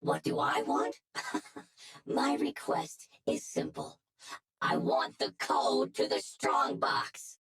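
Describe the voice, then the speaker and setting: A woman voicing a menacing, gloating hacker in a video game, with a taunting, commanding manner and a raspy voice. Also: sits low, huge pitch swings, slow, fairly bright tone, exaggerated voice. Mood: menacing, impatient. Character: menacing, gloating hacker who seized the system. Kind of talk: dramatic video game acting.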